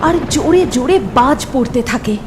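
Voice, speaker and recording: A woman's voice: high-pitched, normal speed, background noise. A woman narrating a scripted horror cartoon story in a dramatic style. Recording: loud background music.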